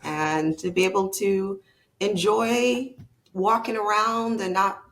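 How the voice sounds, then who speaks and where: Woman, from Barbados, podcast guest, middle pitch, reflective, emphatic. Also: fairly even intonation, takes it slowly, fairly bright tone. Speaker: guest, leadership consultant. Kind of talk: conversational interview.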